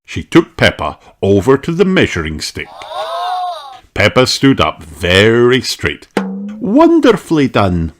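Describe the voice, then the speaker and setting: A man narrating a children's storybook in an expressive, deliberate way, with music behind him. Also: fairly low pitch.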